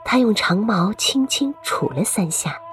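A woman's voice: mid-range voice, animated, a little slow, faint music behind. A woman reading scripted story narration for an audio drama.

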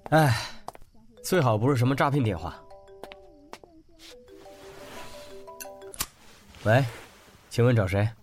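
A man's voice: medium pitch, lively intonation, normal speed, lightly acted. An actor playing a reflective, tender man looking back on his life in a Mandarin radio drama. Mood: warm. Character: man wistfully narrating a lost past, warm husband and father. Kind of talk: Mandarin radio drama acting with inner monologue. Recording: music under the voice.

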